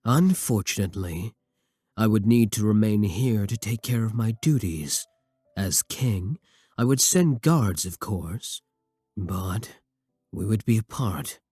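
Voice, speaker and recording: A devoted vampire king, acted by a man, low and husky, speaks with formal courtesy and intimate tenderness. His delivery is slow and measured, recorded dry and close for romantic ASMR roleplay. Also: darkish tone, dry recording, exaggerated voice.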